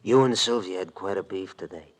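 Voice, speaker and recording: A sly, threatening thug acted by a man from an old American radio crime play. The voice sits low, and the talk is rough, slangy and ungrammatical. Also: brisk, natural voice. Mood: taunting. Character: menacing male gangster thug, slangy and sly. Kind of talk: 1940s radio acting. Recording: vintage radio drama recording.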